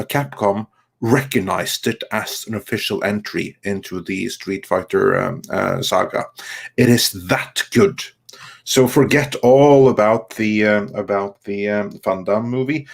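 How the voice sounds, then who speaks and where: A man co-hosting a live stream panel, reading out viewer messages and commenting, with a halting delivery that stretches some words. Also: lowish voice, vocal fry.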